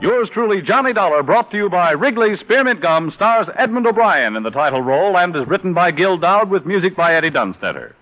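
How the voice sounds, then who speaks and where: A man's voice: high-pitched, very animated, a little fast, few pauses. An American man announcing in 1950s radio style, reading sponsor messages and credits in a polished, upbeat way. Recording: muffled old radio broadcast with music.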